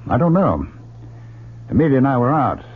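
A man's voice: medium pitch, big pitch swings, normal speed, slightly rough. An actor playing a stern, worried older father and doctor in a radio drama, earnest, formal and insistent. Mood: probing. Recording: dull, lo-fi old radio recording.

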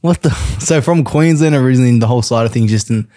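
A man's voice: medium pitch, fast talker, dark tone. A man asking questions as a podcast interviewer in a casual, easygoing and straight-faced way.

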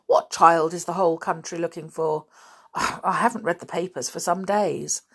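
A woman's voice: lowish voice, steady tone, moderate pace, breathy edge. A woman voicing a stern, flustered father in a children's story, speaking emphatically and scoldingly. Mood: puzzled.

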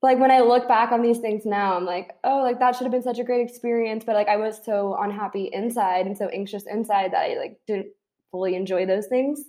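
A woman's voice: medium pitch, steady tone, brisk, dark tone. A woman in her twenties talking candidly in casual chat, with many "like" fillers. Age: 20s.

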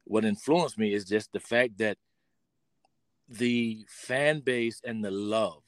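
A man's voice: fairly low pitch, slow pace, no room sound. A middle-aged man with an American accent, talking casually and reminiscing as an interview guest, informal and often repeating his phrases. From Pontiac, Michigan, United States. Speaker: interview guest, performing artist.

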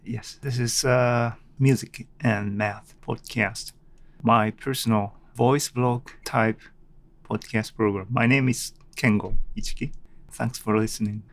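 A Japanese man with a Japanese accent hosting his own solo podcast, thinking aloud unscripted with many pauses and fillers, recorded at home on a desk microphone. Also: medium pitch, fairly even intonation, dry recording. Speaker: podcast host. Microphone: desk microphone at a computer.

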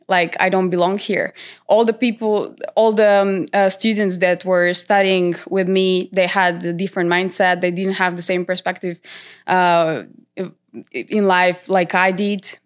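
Young woman from North Macedonia, unhurried. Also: medium pitch. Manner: unhurried, many fillers and pauses. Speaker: guest, content creator. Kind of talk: casual interview.

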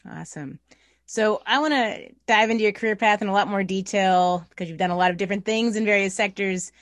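A woman's voice: medium pitch, fairly quick, no room sound, clean studio sound. A woman hosting a podcast, asking questions in a conversational interview style.

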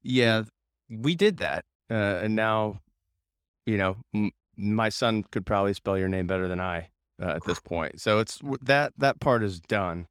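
A man's voice: fairly low pitch, unhurried, fairly bright tone, clear, resonant tone, no room sound, studio quality. A man hosting a podcast in an upbeat, informal, enthusiastic way, chatting casually with a guest. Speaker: podcast host. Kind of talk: casual interview chat.

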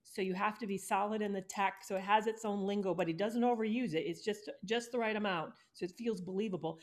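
A woman's voice: medium pitch, fairly even intonation, fast talker. A woman in a casual chat, giving advice on writing and affirming often. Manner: encouraging, gives advice, many affirmations.

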